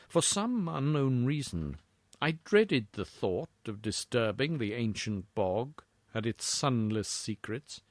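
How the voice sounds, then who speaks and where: Slow, theatrical man narrating horror. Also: mid-range voice, no echo. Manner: formal, theatrical, deliberate. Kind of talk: dramatic literary horror story narration.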